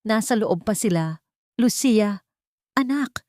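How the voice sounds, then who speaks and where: A woman's voice: medium pitch, lively intonation, slow pace, a little mellow, no room sound, studio quality, voice pushed up. Grieving old man voiced by a woman. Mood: tearful. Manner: sobbing, worried. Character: grieving, gentle elderly father figure.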